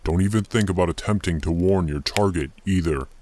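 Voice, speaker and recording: A man playing a taunting, theatrical male kidnapper who speaks deliberately in a horror story, menacing and sadistic. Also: sits low, a little mellow, a little hiss or hum, voice pushed down, close to an everyday voice. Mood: taunting menace. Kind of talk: acted villain in horror story narration.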